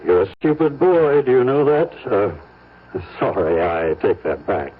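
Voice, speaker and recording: Condescending negotiator, American, lowish raspy voice, dry, sly, deliberate needling, old-time radio drama, muffled broadcast. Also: male voice, big pitch swings, exaggerated voice. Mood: condescending pressure. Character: sly, condescending lawyer-like negotiator for rich woman.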